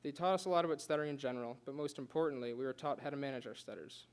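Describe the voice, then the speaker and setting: Young male student, quick delivery, earnest speech with a light stutter. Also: medium pitch, clear, resonant tone. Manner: earnest, with occasional stutters and restarts. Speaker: student giving a class speech. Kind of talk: prepared speech read aloud.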